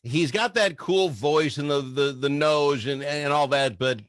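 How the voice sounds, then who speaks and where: A man's voice: on the high side, measured pace, fairly bright tone, no echo, clean studio sound. A man hosting a radio show with casual banter about songs, blunt and argumentative in his opinions.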